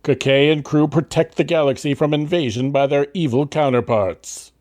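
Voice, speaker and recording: A man's voice: on the high side, moderate pace, a little mellow, no room sound, studio quality. A man reading scripted narration in the style of an old radio serial. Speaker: narrator of an audio drama.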